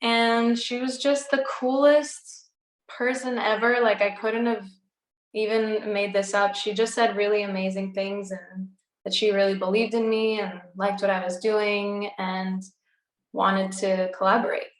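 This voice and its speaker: Young american woman, greek first language, chatty with many "like"s, mid-pitched voice, roomy echo. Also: flat delivery, unhurried. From United States. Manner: bubbly, lots of "like" and "um". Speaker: recording artist guest. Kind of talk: casual interview chat.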